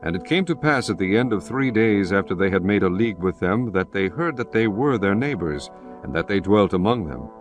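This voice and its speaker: A man narrating scripture in a measured, solemn way. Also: on the low side, expressive, soft background music.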